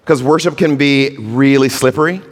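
A man preaching a sermon as pastor, earnest, with drawn-out phrasing. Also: mid-range voice. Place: church sanctuary. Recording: long hall reverb.

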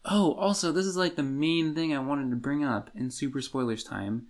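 A man's voice: a little high, normal speed. A man co-hosting a podcast in a casual book discussion, informal and hedging as he thinks aloud. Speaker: podcast co-host.

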